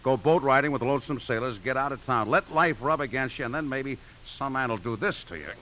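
A man's voice: medium pitch, brisk, lightly acted. An actor playing a defiant, sarcastic American father in a 1950s radio drama, theatrical and combative. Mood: bitter, mocking. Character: defiant, sardonic father fighting for his daughter's custody. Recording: dull, hissy old radio recording.

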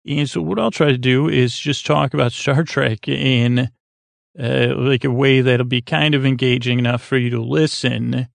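Very gravelly, rambling bedtime podcast man. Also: mid-range voice, steady tone, dry recording. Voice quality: very creaky, gravelly. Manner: meandering, halting, trails off and circles back, whimsical. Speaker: podcast host.

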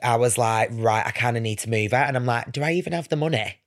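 A podcast guest talks in a casual, chatty way at a medium pitch. The delivery is informal and talkative, with "like" sprinkled through the sentences. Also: quick pace. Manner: informal, talkative, heavy use of "like". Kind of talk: casual chat.

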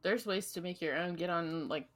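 A woman's voice: lowish voice, moderate pace, fairly bright tone, a little hiss or hum. A woman co-hosting a book podcast in casual chat, often laughing and offering short supportive replies. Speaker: podcast co-host.

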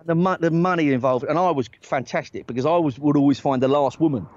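A man, a talent agent and guest on a podcast, telling stories in a loose, animated way with lots of "right?" tag questions. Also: fairly high pitch, quick pace. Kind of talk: casual storytelling chat. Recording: dry, dull lo-fi sound.

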